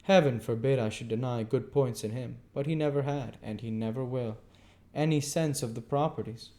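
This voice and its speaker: A man voicing a contrary, moralizing gentleman in an audiobook, with an emphatic, sententious delivery. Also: mid-range voice, moderate pace, voice pushed up, lightly acted. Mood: pompous disapproval.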